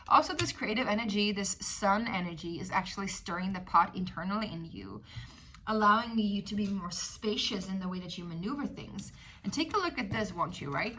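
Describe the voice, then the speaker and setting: A woman's voice: mid-range voice, fairly bright tone, a little hiss or hum. A woman with a Swedish accent, Swedish her first language, giving a casual, chatty tarot reading with many fillers. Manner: chatty, rambling, many fillers, laughs often. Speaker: tarot reader.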